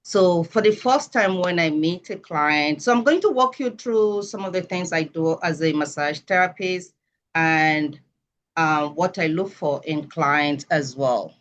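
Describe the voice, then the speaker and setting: A woman from Nigeria with a Nigerian accent, giving a measured, explanatory talk as a massage therapist over a video call. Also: deep voice, dull audio. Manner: measured, explanatory, self-correcting.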